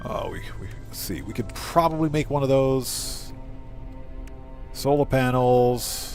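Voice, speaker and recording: A man's voice: mid-range voice, animated, a little slow, a little mellow. Man streaming a game over music. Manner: talks to himself step by step and addresses chat. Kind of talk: casual live gameplay commentary.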